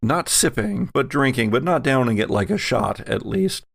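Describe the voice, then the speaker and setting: A man narrating a tabletop roleplaying game as game master, speaking in a halting, hesitant way. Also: mid-range voice, animated, creaky, a bit bright, dry recording, clean studio sound.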